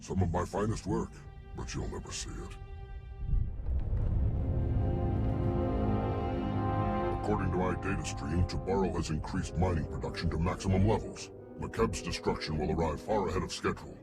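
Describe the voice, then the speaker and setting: A man's voice: sits low, fast talker. A man voicing a haughty alien Hutt scientist in a video game, condescending and wordy, a huge slug-like genius. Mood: proud, urgent. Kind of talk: video game cutscene acting. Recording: music playing behind.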